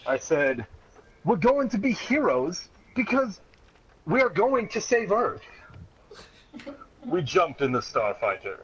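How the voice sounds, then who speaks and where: A man's voice: high-pitched, sing-song, slow, pauses often, fairly bright tone. A man reading a story aloud in a mock-serious, dramatic way, often close to breaking into laughter. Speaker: reader of a childhood story. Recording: dull lo-fi sound, clear background noise.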